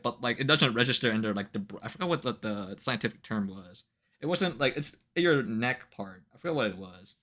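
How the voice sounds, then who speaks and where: A man chatting casually on a livestream, ranting to viewers in an incredulous, animated way with casual swearing. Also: mid-range voice. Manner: laughs often between remarks. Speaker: live streamer.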